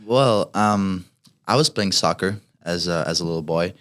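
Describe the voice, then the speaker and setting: A man's voice: low-pitched, moderate pace, fairly bright tone, clean tone. A young man in his twenties from Hungary with a Hungarian accent, a college fencer talking as a guest, hesitant with many fillers and restarts. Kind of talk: casual interview chat.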